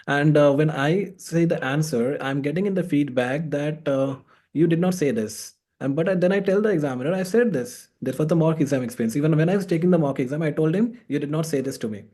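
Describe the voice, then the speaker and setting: Mellow-voiced man interviewing and advising. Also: a little high, a little fast. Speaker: host, exam course mentor. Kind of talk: conversational interview, giving exam advice.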